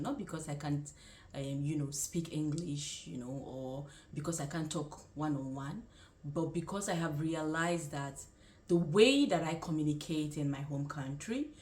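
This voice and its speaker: A young woman with a Nigerian accent speaks in a low-pitched voice. She talks in a casual, conversational vlog style, offering advice and rephrasing her points as she goes. The sound is echoey, as if recorded in a bare room. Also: darkish tone, a little hiss or hum. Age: young adult. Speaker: vlogger and nursing student. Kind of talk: casual direct-to-camera advice chat. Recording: roomy, echoing sound.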